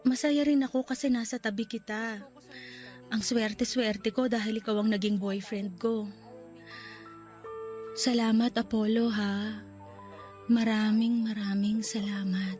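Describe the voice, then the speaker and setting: A woman voices a young woman gravely ill in a hospital bed, tender toward her love and pleading with him. Her voice sits a little high and soft, breaking into tears. Faint music plays under this radio drama. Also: fairly even intonation, measured pace, long pauses, close to an everyday voice.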